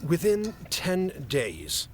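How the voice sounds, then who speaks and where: An actor playing a haughty, impatient fae official in a fantasy comedy audio drama, curt and formal. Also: male voice, fairly high pitch, huge pitch swings, drawn-out words, a little hiss or hum, full character voice. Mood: stern, formal. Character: exasperated haughty male fae official guarding a captive.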